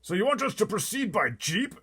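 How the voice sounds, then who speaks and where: A man voicing a cowardly, panicky head of the army in a storybook readaloud, with bossy, alarmed shouting. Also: high voice, sing-song, fairly quick, breathy edge, voice pushed up, full character voice. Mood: uneasy.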